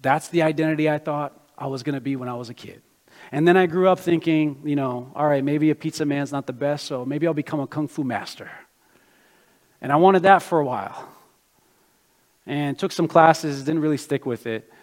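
Man, pastor, sermon, personal storytelling, slightly high voice, long hall reverb. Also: normal speed. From Brazil, raised in the US. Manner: animated storytelling preacher, personal anecdotes.

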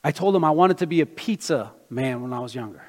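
A man preaching a sermon as pastor, born in Brazil and raised in the US, telling personal stories in an animated way. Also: fairly high pitch, quick pace. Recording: long hall reverb.

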